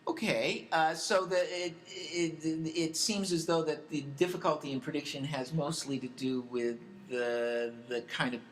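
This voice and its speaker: A male radio talk show host putting questions conversationally, in a halting way, often rephrasing them mid-sentence. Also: fairly high pitch, steady tone, a little hiss or hum.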